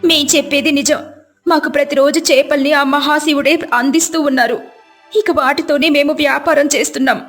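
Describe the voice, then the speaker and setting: A woman acts out a devout village wife in a moral tale. She is earnest, with a high voice over faint music. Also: steady tone, fairly quick, a bit bright. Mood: insistent. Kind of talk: scripted story dialogue.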